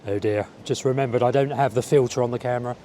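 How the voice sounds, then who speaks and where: A man with a slightly croaky voice from a cold, chatting casually and musing aloud as he walks along outdoors. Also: medium pitch, fairly even intonation, quick pace, dark tone, slight background noise. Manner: rambling, musing aloud to the viewer. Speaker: vlogger. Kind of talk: casual outdoor walking vlog chat. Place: outdoors, walking by a village road and seafront. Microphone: small wireless clip-on mic.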